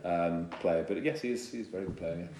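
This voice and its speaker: A man with an English accent, a guest banjo player, telling quick self-deprecating stories on stage and laughing at his own jokes. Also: deep voice, background noise. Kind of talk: storytelling live chat. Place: live venue with audience. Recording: roomy live stage sound.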